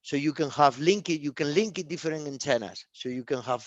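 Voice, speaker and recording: A man who is not a native English speaker gives a live technical product presentation, speaking haltingly and often repeating words and phrases. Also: on the high side, dry recording, lo-fi sound.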